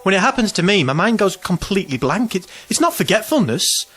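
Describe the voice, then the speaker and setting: Anxious, self-pitying young man, swinging pitch. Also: very animated, brisk, a bit bright, faint music behind, heavily acted. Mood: uneasy, confiding. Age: 20s. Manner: hesitant, self-deprecating, rambling. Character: anxious, self-pitying twenty-seven-year-old man. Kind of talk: audio drama acting.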